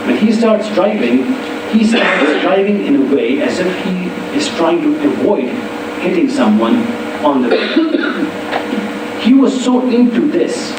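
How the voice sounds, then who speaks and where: A man with a foreign accent giving a public university lecture, explaining with wry asides. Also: fairly high pitch. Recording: echoey hall from far off with hum.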